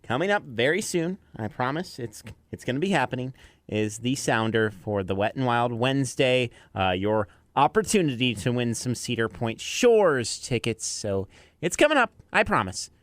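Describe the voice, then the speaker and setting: A man's voice: mid-range voice, very animated, normal speed, a bit bright, dry recording. An American man presenting as an afternoon radio DJ, talking listeners through songs, breaks and countdowns in a conversational style.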